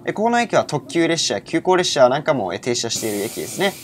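A man's voice: medium pitch, very animated, fast. A man in his early twenties narrating a railway vlog with detailed, informative explanations. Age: early 20s. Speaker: railway vlogger. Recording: loud train and station noise.

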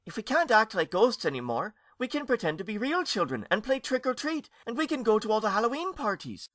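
A man voicing an excited young boy ghost, speaking high and eagerly. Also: a little fast, dry recording, clean studio sound. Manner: excited, coaxing.

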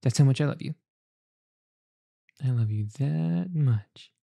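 A woman doing an ASMR roleplay as a mother comforting her child, speaking in a soft, cooing, soothing way close to the mic. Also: very deep voice, expressive, a little slow, gravelly, no room sound. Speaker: roleplay performer.

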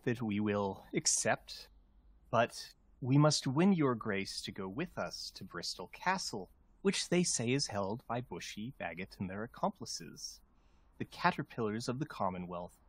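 A man's voice: mid-range voice, breathy edge. A man playing a proud, aggrieved rebel nobleman, delivering verse in a formal, declamatory manner. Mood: determined.

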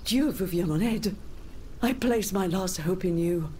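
An actress as a low-voiced, loyal court lady. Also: measured pace, warm tone, slight background noise, lightly acted. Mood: grave, sorrowful. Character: worried, loyal noblewoman of the French royal court. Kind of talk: dramatic video game cutscene acting.

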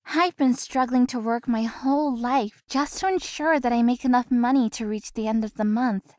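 A high, closely recorded young female florist from a visual novel, acted by a woman. She is courteous and hard-working, and speaks with very little room sound. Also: normal speed, a little mellow, dry recording, clean studio sound, close to an everyday voice. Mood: wistful, subdued. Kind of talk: visual novel game acting.

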